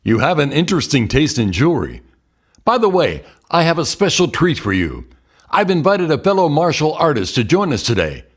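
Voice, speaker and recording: A man's voice: fairly high pitch, huge pitch swings, normal speed. An actor playing a dry, teasing older martial arts mentor, a man, in an audio drama. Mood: teasing, challenging.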